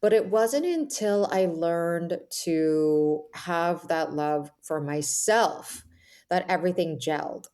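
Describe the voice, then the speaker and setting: A woman guest author talking candidly in a conversational interview, telling her story in an animated way with lots of "like" and "right?". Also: on the low side, slow pace. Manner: animated storyteller, acts out quoted thoughts, says "like" and "right?" often; candid, self-described intense.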